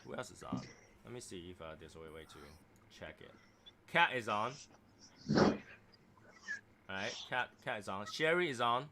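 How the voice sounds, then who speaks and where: A man's voice: lowish voice, lively intonation, moderate pace, gravelly, pauses often, very noisy. A man hosting a casual livestream chat, rambling and teasing his guests with a self-mocking humor. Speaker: livestream host.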